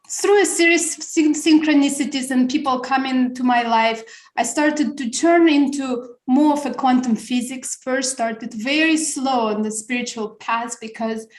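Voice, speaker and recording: A middle-aged woman from Russia, a Russian native speaker, talking thoughtfully as a guest on a video call, pausing and restarting words. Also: fairly high pitch. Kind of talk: reflective interview conversation. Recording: roomy echo.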